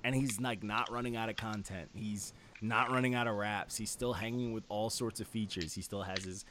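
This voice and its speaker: A man's voice: on the low side, steady tone, moderate pace, noisy background. A man in a casual album review chat, talking as a host in a slangy, profane, enthusiastic way. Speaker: music review show host.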